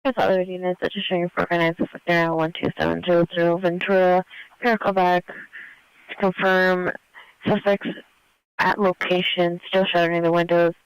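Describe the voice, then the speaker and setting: Guy calling in on police radio, high flat voice, short clipped phrases. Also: normal speed, smooth, no echo, poor audio. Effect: police radio transmission.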